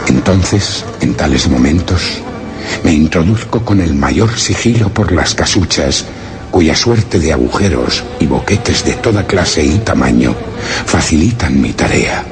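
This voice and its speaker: An actor from playing an anguished, secretive explorer in a suspense radio drama, narrating in grave, formal, literary language. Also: male voice, a bass voice, exaggerated voice. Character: anguished male explorer hiding among a foreign people, solemn and secretive.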